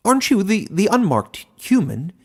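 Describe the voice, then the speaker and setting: A man voicing a small, timid hedgehog creature in a squeaky, halting, eager voice for a fantasy story. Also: very animated, slightly rough, exaggerated voice. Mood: hesitant, hopeful. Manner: halting, unsure.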